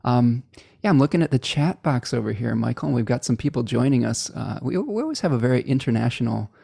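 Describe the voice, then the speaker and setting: A man's voice: medium pitch, expressive, fast, creaky, mellow, slightly rough, no room sound, studio quality. A man hosting a live interview show, upbeat and chatty, with many fillers. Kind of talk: casual interview talk.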